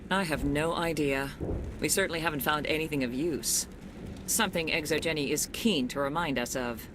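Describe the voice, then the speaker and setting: A woman's voice: normal speed, close to an everyday voice. An actress playing a trusting, determined middle-aged colonist mother in a video game, earnest and firm. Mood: worried, determined. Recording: noisy rumbling ambience behind voice.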